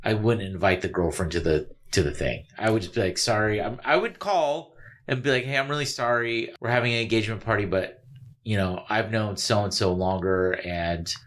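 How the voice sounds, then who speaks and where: A man's voice: on the low side, normal speed, fairly bright tone. A man co-hosting a casual book discussion, conversational and ready with his own opinions. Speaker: podcast host.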